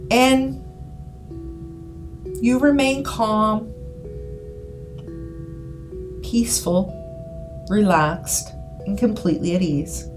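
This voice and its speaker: A woman reading a scripted guided hypnosis session in a soothing, deliberate manner, with repeated phrases and pauses. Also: mid-range voice. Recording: voice over background music. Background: background music.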